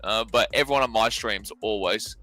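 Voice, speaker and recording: A man's voice: lowish voice, normal speed, pushed and bright, no room sound, soft background music. A man in his late twenties co-hosting a sports watch party, joking and teasing his partner as they chat. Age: 20s. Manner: joking, teasing, laughs often. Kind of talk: casual banter stream.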